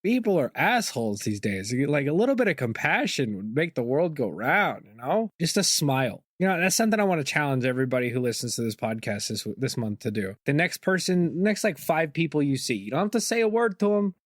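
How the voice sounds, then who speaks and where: Casual male podcaster with a slightly higher voice. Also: brisk, few pauses, no room sound, clean studio sound. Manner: informal, profane, animated slang. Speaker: podcast host. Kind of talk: casual rambling monologue.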